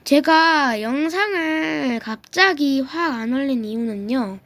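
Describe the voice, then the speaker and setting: A woman playing a video game and commenting casually, reacting aloud with quick exclamations while getting over a throat cold. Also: high-pitched, expressive, a bit bright, dry recording. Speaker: gaming YouTuber.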